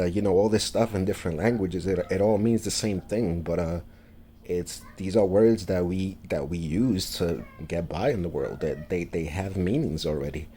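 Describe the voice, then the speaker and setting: Deep new guy rambling to camera, stops and restarts a lot, mellow low voice. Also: a little hiss or hum. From New York, USA. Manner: halting, many fillers and restarts. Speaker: vlogger. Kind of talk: casual monologue to camera.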